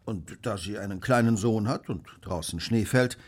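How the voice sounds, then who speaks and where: A man's voice: fairly low pitch, normal speed, breathy edge. An actor playing a brilliant, smug Victorian detective in a German radio play, precise and lecturing in his delivery. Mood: analytical, assured. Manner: precise, self-assured, lecturing.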